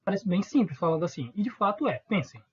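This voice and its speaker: A man's voice: high voice, big pitch swings, quick pace, husky, muffled recording. A man from Northeast Brazil with a Northeastern accent, teaching a recorded online lesson in an informal way, asking questions and answering them himself. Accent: Northeastern Brazilian Portuguese.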